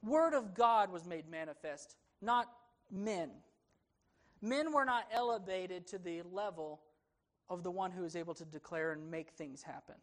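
A man preaching an expository sermon in a church, earnest and emphatic, his delivery rising and falling for emphasis. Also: high-pitched. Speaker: pastor.

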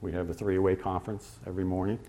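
A man preaching a sermon to his congregation in a conversational way, with pauses and fillers, slowing down to stress scripture references. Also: low voice, flat delivery, warm tone. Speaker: pastor.

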